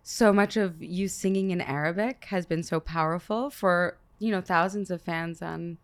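A woman's voice: fairly low pitch, steady tone, moderate pace. A woman in her thirties hosting an interview, musing aloud in a casual way and stammering a little as she begins her thoughts. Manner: warm-hearted, musing, stammers at starts. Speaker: podcast host.

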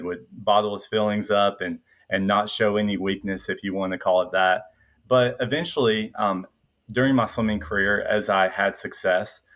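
A man in his twenties with a light Tennessee Southern twang, a guest telling his story in a relaxed, reflective way with frequent fillers. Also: lowish voice. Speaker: guest, incoming psychiatry resident. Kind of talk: casual interview chat.

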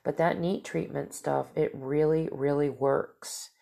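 A woman's voice: sits low, fairly even intonation, unhurried, vocal fry, warm tone. A woman hosting a talk show, whose stuffy, nasal voice rambles casually through stories and asides. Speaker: podcast host. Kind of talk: casual storytelling monologue.

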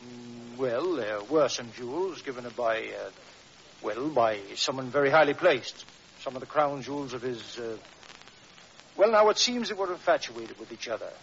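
An actor playing a gruff, dismissive senior policeman in an old radio drama, blustering and hemming. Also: mid-range voice, animated, moderate pace, a bit bright. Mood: evasive, awkward. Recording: muffled vintage radio, faint hiss.

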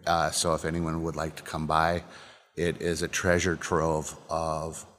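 Deep-voiced, halting male distillery owner. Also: monotone, long reverb tail. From Illinois, USA. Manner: halting, with many ums and uhs, trailing off softly. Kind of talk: casual interview answers. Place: distillery building interior.

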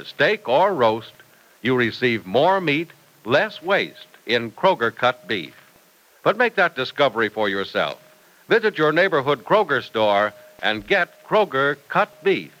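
Animated, bright-voiced old-time radio announcer man. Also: mid-range voice, low-quality recording. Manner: emphatic, polished salesman delivery. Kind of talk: 1940s-50s radio announcing, scripted.

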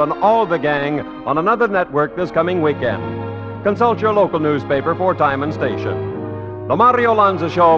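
A man's voice: a little high, muffled recording. A man with an American accent announcing in scripted old-time radio style, on a vintage broadcast recording. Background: music.

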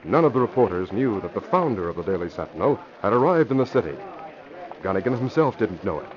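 A man's voice: fairly low pitch, very animated, quick pace, a crowd in the background. A man narrating a 1940s radio drama as announcer in a dramatic, declamatory manner. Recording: muffled vintage radio recording.

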